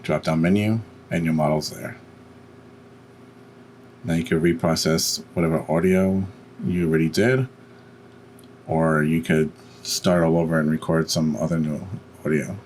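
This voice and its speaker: A man with a deep voice narrates a software screencast. He explains each step plainly and without hurry, with faint noise behind him. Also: fairly even intonation, unhurried, long pauses. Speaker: tutorial host.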